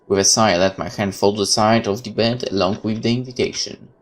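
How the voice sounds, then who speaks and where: A man's voice: on the low side, fairly even intonation, fairly quick. A man reading a horror visual novel aloud as a let's player, with casual commentary of his own.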